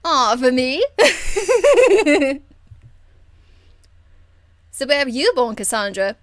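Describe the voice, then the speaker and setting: A woman's voice: sits high, big pitch swings, very slow, no echo, clean studio sound, pitched above the performer's own voice, heavily acted. A woman voicing a cheeky, crude young elf thief in a video game, with a blunt, teasing, informal manner. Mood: gleeful. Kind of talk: video game character acting.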